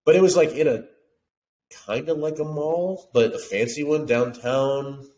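A man's voice: on the high side, a little slow, darkish tone. A laid-back, congested man in his thirties tossing out crude jokes as a podcast co-host. He talks through a headset on a video call, with a faint hiss behind him. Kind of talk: casual chat.

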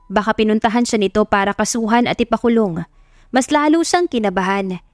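A woman's voice: medium pitch, studio quality. A woman narrator reading a romance story in a dramatic scripted style. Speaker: audiobook narrator. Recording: roomy echo, music bed behind.

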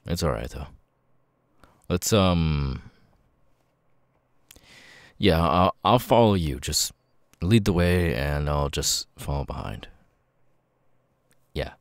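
A man playing a nervous, grateful young outcast with vocal tics in an ASMR roleplay, speaking haltingly and repeating words. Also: expressive, no echo, exaggerated voice. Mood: hesitant, weary. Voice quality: very deep voice with stuttering, sudden high-pitched tic outbursts.

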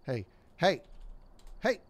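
A man streaming a game, chatting casually with rambling restarts and frustrated muttering. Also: very slow. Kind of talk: casual gaming stream chat.

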